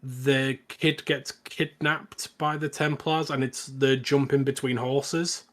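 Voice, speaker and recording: A man co-hosting a podcast, leading a casual film recap in a halting, self-correcting way. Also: mid-range voice, flat delivery, a bit bright.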